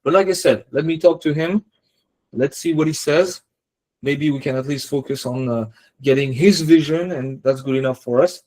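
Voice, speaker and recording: A man's voice: on the high side, normal speed, a little mellow, low-quality recording. Man thinking aloud on a team call. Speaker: software developer on a team. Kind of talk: casual technical discussion.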